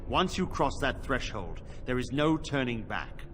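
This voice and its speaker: Stern, grave Templar commander, music behind. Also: male voice, medium pitch, sing-song, unhurried. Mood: solemn, resolute. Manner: formal, commanding, solemn. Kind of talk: video game cutscene acting.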